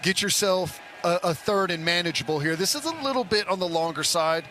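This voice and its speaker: A man's voice: high-pitched, a little fast, sharp tone, a little hiss or hum. A man giving conversational football analysis as a color commentator in a stadium broadcast booth.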